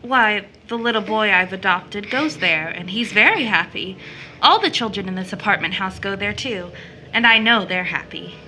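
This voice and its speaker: A woman's voice: medium pitch, normal speed, sharp tone, a little hiss or hum, natural voice, rough recording. An actress playing a proud, bitter young woman who is kind to children, in a scripted audio drama. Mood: polite, earnest.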